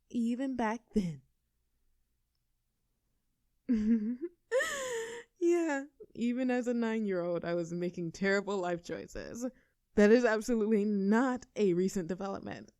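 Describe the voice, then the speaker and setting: A woman's voice: medium pitch, darkish tone, no room sound, clean studio sound, close to an everyday voice. A woman voicing a tough, brash young girlfriend in a scripted audio roleplay. She talks casually and cockily, swears freely and laughs between lines.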